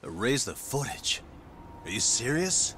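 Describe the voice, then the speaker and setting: An actor playing a dogged, righteous private detective in a video game dub, wry and challenging. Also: male voice, medium pitch, big pitch swings, unhurried, background noise. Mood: probing, incredulous.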